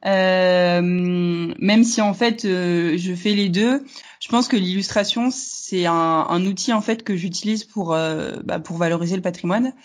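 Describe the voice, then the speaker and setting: A woman's voice: lowish voice, unhurried. A young woman from northern France with a slight northern French accent, answering interview questions in a hesitant, casual way, with many fillers and easy laughter. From Hauts-de-France, northern France. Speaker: guest entrepreneur.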